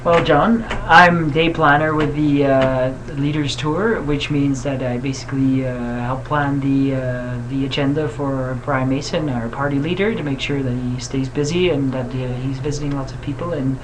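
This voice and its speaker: A man's voice: medium pitch, fairly even intonation, moderate pace, few pauses. A man from Switzerland, a campaign guest, answering interview questions hesitantly with many fillers. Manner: hesitant, many uhs and ums. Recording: audible background hiss.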